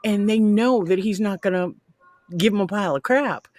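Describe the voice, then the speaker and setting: A middle-aged woman on a video call, speaking in a low, soft voice she calls ASMR-like, telling a heartfelt, rambling story with pride. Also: moderate pace, dry recording. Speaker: parent sharing a story. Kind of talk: casual group chat. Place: home video chat.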